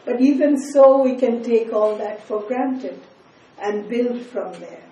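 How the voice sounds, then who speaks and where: An older woman from India, whose first language is Bengali, reads her poems slowly, letting line endings fall away softly. Her voice is mellow and unhurried. She is heard from some distance in an echoey room, with a faint hum of ambience behind her. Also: fairly high pitch. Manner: slow, measured reading with soft, trailing line endings. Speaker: poet. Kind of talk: poetry reading with spoken asides. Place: reading event in a room.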